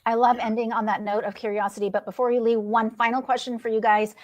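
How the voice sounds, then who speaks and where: Brief: female host, fairly high voice, brisk and upbeat moderator steering a panel. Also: level tone, dull audio. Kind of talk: hosting a business talk show.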